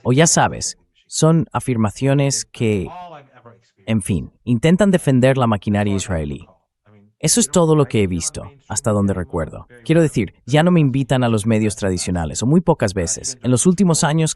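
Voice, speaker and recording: A man's voice: medium pitch, big pitch swings, moderate pace, a little mellow. A man giving conversational, emphatic political commentary as an interview guest, often digressing as he talks.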